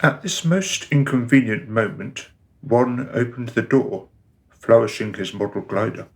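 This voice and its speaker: An actor gives a composed storyteller's narration for a radio drama. The man's voice is creaky and medium in pitch, with measured, literary delivery. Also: lightly acted. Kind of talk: scripted narration in a radio play.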